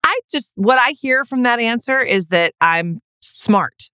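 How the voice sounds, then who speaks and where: A woman's voice: fairly high pitch, lively intonation, measured pace, pushed and bright, clean tone, dry recording, studio quality. Playful woman co-hosting a chat show. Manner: playful, self-mocking, laughs often. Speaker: podcast co-host. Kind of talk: casual chat.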